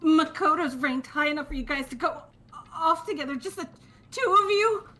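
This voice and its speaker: A woman playing a sullen, jealous, nervous schoolgirl with halting delivery in a fan-dubbed game. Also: sits high, animated, sharp tone. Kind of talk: fan-dubbed visual novel game acting.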